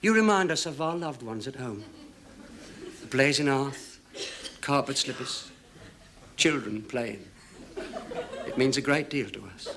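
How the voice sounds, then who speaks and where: An actor playing a wily, wheedling hospital patient in a sitcom, slyly flattering and mock-sincere. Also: male voice, mid-range voice, measured pace, long pauses, noisy background. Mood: mock-sincere flattery. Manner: sly, flattering. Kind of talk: sitcom acting.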